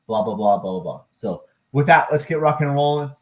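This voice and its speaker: A man hosting a live market-chart stream, chatting loosely and warmly with his viewers. He rambles through asides and jokes at his own expense. His voice sits in a plain, middle pitch. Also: expressive, fairly quick. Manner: rambling, chatty, self-deprecating, with asides to the chat. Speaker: crypto and stock chart livestream host. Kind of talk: casual livestream chat.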